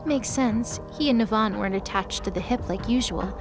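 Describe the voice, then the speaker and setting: A woman's voice: medium pitch, normal speed, over music. A woman reading scripted, dramatic third-person narration for a mythology audio drama.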